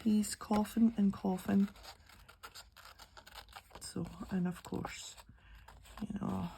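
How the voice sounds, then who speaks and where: A middle-aged Scottish woman, slightly croaky with a cold, chatting and thinking aloud as she narrates a casual craft tutorial. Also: medium pitch, dark tone. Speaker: craft channel host. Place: indoor craft table. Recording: clearly audible background noise, handling clicks.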